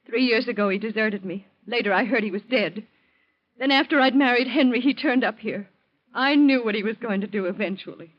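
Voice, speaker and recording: A resigned American wife with a shady past played by an actress speaks frankly and dramatically, in the manner of 1940s radio. The recording is old and muffled. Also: female voice, on the high side, brisk, lightly acted. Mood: resigned, confessing.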